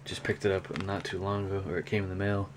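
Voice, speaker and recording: A man talking casually and unscripted in a hobby show-and-tell vlog, with a rambling, halting delivery. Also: low-pitched, level tone. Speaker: hobby vlogger, cassette collector. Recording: clear background noise.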